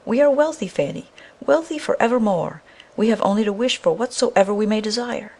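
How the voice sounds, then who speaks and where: Woman playing an excitable, gravelly-edged poor woodcutter. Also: mid-range voice, a little fast, pitched above the performer's own voice. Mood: elated. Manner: exclamatory, archaic phrasing. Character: simple, excitable poor woodcutter husband, hasty and blustering.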